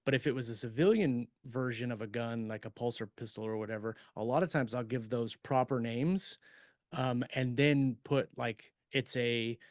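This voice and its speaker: A man chats casually as a podcast host. His voice sits at a middling pitch, recorded very dry with hardly any room sound. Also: steady tone, moderate pace, dry recording. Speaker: podcast host and fiction writer. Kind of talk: casual shop talk.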